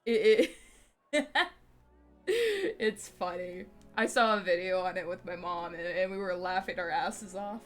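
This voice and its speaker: A woman's voice: fairly low pitch, lively intonation, unhurried, pauses often. A young woman streamer with a slight lisp on her s and t sounds, rambling casually with many fillers and restarts. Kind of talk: casual live-stream chat.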